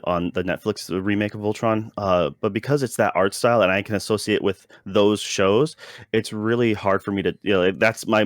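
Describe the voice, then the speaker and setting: A man's voice: deep voice, no room sound, studio quality. A man co-hosting a casual review chat, talking in a hesitant, rambling way and often interrupting himself. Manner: hesitant, rambling delivery with many self-interruptions. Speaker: podcast co-host reviewing a show.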